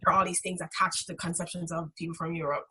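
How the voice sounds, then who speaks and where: A woman's voice: lowish voice, little pitch movement, fast talker, sharp tone. A young woman speaking candidly and emphatically in a reflective interview. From Caribbean islands. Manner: strident, emphatic. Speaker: interviewee, graduate student instructor. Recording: background noise with clicks.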